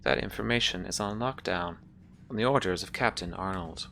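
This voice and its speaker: A man voicing an emotionless ship's computer in a tabletop roleplaying game, speaking in measured, impersonal phrases. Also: low voice, vocal fry, fairly bright tone, slight background noise. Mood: flat, formal. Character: formal, emotionless ship's computer called Mother. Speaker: game master.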